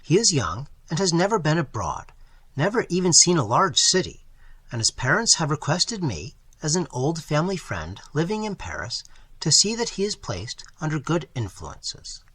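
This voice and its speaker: A man voicing a stiff older American gentleman who lectures earnestly and formally. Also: fairly high pitch, sing-song, normal speed, breathy edge, dry recording, pitched above the performer's own voice, exaggerated voice. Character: stiff elderly American family friend, moralizing.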